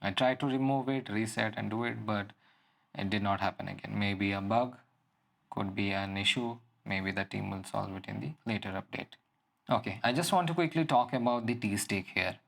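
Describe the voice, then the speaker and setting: A man giving an unscripted product walkthrough as a reviewer, explaining things in halting phrases. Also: lowish voice, vocal fry, fairly bright tone. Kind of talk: unscripted app and gadget walkthrough.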